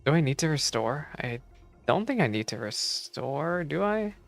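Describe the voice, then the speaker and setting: A game streamer giving casual, expressive, exclamatory live commentary while playing. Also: medium pitch, moderate pace, creaky. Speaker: streamer reacting to a game playthrough. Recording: game music behind the voice. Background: video game music.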